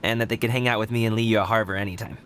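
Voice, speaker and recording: A man's voice: lowish voice, steady tone, fast, fairly bright tone, a little hiss or hum, lightly acted. An actor playing an earnest young man at odds with his father, who sounds a little weary and sighs often. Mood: confident. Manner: earnest, a bit weary, sighing. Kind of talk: game voice acting.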